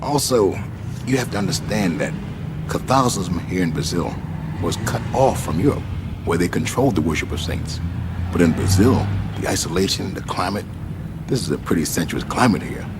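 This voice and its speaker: A man's voice: sits low, animated, normal speed, noisy background. A man acting in a radio play as an earnest local guide in Brazil, explaining things like a storyteller.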